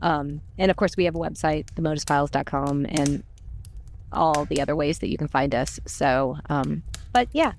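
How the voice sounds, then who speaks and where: A woman's voice: low voice, moderate pace, darkish tone, a little hiss or hum. A woman chatting casually and informally about a podcast project, in a gushing, talkative way. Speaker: podcast cast member and volunteer. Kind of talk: casual chat.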